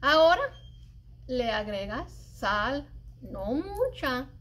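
A middle-aged woman reading a children's picture book aloud as a library storyteller, with expressive, deliberate delivery and drawn-out emphasized words. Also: sits high. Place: indoors in a library children's room. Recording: light background room noise.

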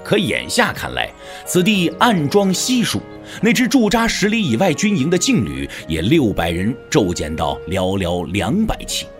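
A man narrating a martial-arts novel as a dramatic audiobook, with a measured, expressive storyteller's delivery. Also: medium pitch. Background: background music.

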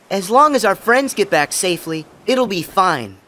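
A man's voice: high voice, very animated, slow, bright, forceful tone, exaggerated voice. A man playing a plucky, stubborn young boy hero in a game cutscene, earnest, eager and optimistic. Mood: friendly, earnest. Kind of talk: video game cutscene acting.

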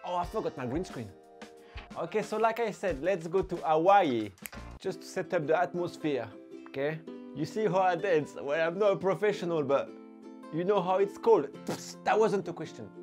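A young man presents short facts in a scripted vlog style. His delivery is animated and playful, and music plays clearly behind him in the recording. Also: high-pitched, moderate pace. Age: young adult. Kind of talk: energetic scripted fact-of-the-day vlog with skits. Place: small furnished room indoors. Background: background music.

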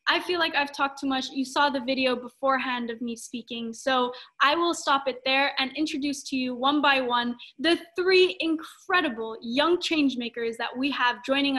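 Young woman, panel host, high-pitched, bright tone, effusive, expressive, video call. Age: young adult. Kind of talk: enthusiastic introduction of panelists. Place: dim room at home, indoors.

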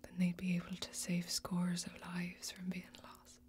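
A young woman playing a grave, weary fantasy warrior in an ASMR role-play, whispering slowly and deliberately in a breathy, brooding way. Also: fairly low pitch, monotone, a little mellow, close to an everyday voice. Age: young adult. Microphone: close microphone.

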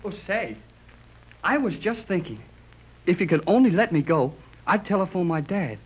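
An eager, lively teenage boy. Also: sits high, moderate pace, husky, heavily acted. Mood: eager, pleading. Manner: earnest, boyish, folksy exclamations. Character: eager, polite, wheedling teenage boy radio enthusiast. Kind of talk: 1930s radio acting. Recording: dull old 1930s radio recording.

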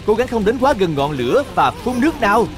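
A man's voice: sits high, big pitch swings, fairly quick, over music. A man narrating a cartoon for young children, talking to the characters in an exclamatory, encouraging, questioning way.

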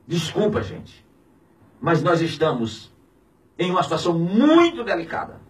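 A man in his fifties with a Brazilian accent, a pastor speaking out in an indignant, preaching tone on the radio, swinging between shouts and low, heavy words. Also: big pitch swings, a little slow, distant mic, low-quality recording. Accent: Brazilian Portuguese. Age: 50s. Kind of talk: impassioned radio commentary. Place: small radio studio. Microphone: studio mic on a boom, filmed from a distance.

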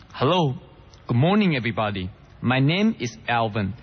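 A man's voice: medium pitch, big pitch swings, unhurried, a little hiss or hum. A man playing a vain, showy young student who drops English into his lines in a radio drama. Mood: theatrical. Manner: showy, mixes English into Mandarin. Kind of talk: idol radio drama acting. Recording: dull lo-fi audio.

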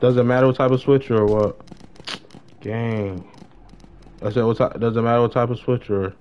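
A man's voice: medium pitch, steady tone, moderate pace, clean tone. A young man chatting casually on a gaming livestream in slangy, profane street talk. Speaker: game livestreamer. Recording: dull lo-fi mic with noise.

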